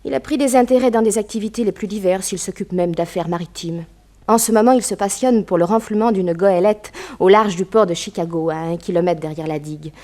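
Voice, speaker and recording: A woman's voice: medium pitch, a little fast, darkish tone, natural voice. An actress playing a poised, well-bred young woman, determined and vindictive, speaking articulately in a 1960s French radio drama. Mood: assured. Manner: articulate, decided.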